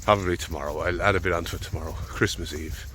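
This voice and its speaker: A man's voice: low-pitched, steady tone, fast talker, pushed and bright, slight background noise. An Irish man farming, talking easygoing and rambling out in a field while fighting off a head cold. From Ireland. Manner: easygoing, rambling, thinking aloud. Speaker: farmer. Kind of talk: casual vlog narration. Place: outdoors in a field.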